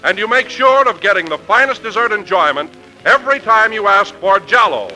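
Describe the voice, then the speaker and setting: A man's voice: high voice, lively intonation, a little fast, sharp tone, faint music behind, poor audio. A man reading a scripted advertisement as a polished, emphatic 1940s radio announcer. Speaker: commercial announcer. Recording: vintage radio broadcast recording.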